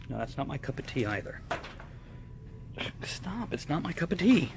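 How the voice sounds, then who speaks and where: A man giving casual, joking commentary on products in a crude, wisecracking manner. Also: medium pitch. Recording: noisy background ambience.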